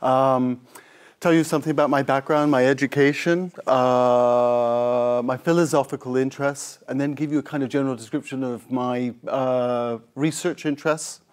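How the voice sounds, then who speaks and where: A man's voice: mid-range voice, steady tone, moderate pace. A middle-aged man from Scotland, a professor giving an informal talk in a lecture room on a lapel mic, rambling and self-deprecating with many fillers and restarts.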